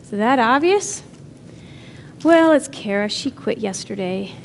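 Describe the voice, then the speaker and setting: A caring, earnest aunt, acted by a woman with a higher-pitched voice and a natural dramatic delivery. She sounds unsure of herself, over faint background noise. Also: animated, measured pace, creaky. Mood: dejected.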